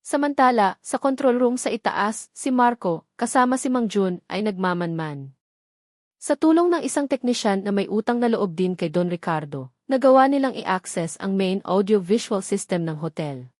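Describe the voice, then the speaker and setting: A woman narrates a dramatic tale in a scripted storytelling style. Her voice sits at a middle pitch, and the sound is dry, with very little room around it. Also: lively intonation, moderate pace, darkish tone, no echo.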